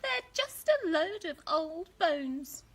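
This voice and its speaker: A woman voicing a grumpy, weary old grandmother who complains in a huffy, drawn-out, dismissive way. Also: very high voice, very animated, voice pushed up, full character voice.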